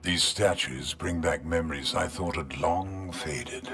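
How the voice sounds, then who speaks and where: A very deep-voiced, gruff space marine engineer speaks bluntly and plainly. It is video game cutscene acting, with music behind the voice. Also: male voice, a little slow. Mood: gruff, impatient.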